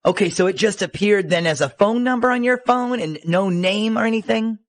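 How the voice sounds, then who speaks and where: A man's voice: high voice, a little fast, dry recording. A man acting as a police detective on a prank call, courteous and businesslike. He keeps a reassuring, official manner in a close, dry sound. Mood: reassuring, casual.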